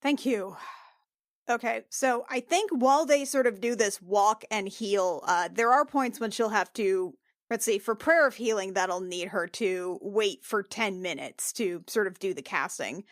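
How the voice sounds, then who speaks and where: A woman's voice: medium pitch, measured pace, dry recording, clean studio sound. Hesitant woman playing a tabletop game. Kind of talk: casual roleplaying game talk.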